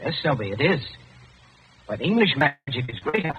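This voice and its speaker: Cool, crafty Englishman, rather high-voiced. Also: big pitch swings, slight background noise. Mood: smooth, sly. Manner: deliberate, simple coaxing phrases. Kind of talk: 1940s radio acting. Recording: dull old radio broadcast recording.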